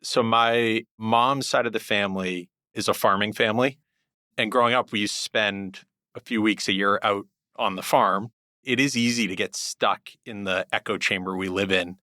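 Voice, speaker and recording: A middle-aged man answering podcast interview questions in a thoughtful, deliberate way, with pauses. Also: lowish voice, sharp tone, dry recording, clean studio sound. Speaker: guest, hedge fund group head.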